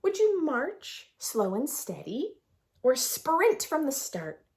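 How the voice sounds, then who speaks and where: A woman reading a picture book aloud for young children in an expressive, sing-song storytelling manner, adding her own asides. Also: sits high, takes it slowly, a little hiss or hum. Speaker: children's read-aloud host.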